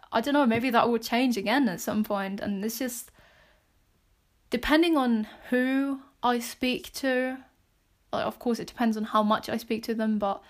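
Chatty British-accented woman podcaster. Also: on the high side. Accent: British English. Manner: chatty and rambling, with frequent fillers and soft laughs. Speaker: podcast host. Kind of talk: casual solo podcast talk.

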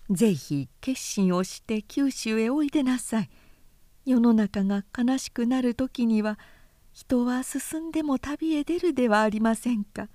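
A woman narrator plays a coaxing noblewoman in a classic tale. She speaks in a raised, soft, mellow voice, sweetly insistent and persuasive. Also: fairly high pitch, unhurried, dry recording, studio quality, voice pushed up, natural voice. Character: coaxing, smooth-talking noblewoman urging a relative to travel.

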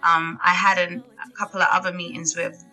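A woman's voice: on the low side, level tone, a little fast, bright, forceful tone, soft background music, poor audio. A young woman, a singer chatting casually as an interview guest, with lots of fillers and laughs. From England. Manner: chatty, laughs often, many fillers and restarts.

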